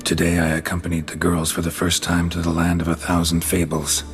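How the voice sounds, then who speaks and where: A man playing a gruff, wry middle-aged monster hunter in a video game, with a gravelly voice and a terse, dry delivery. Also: deep voice, monotone, fairly quick. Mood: flat reading aloud. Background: music.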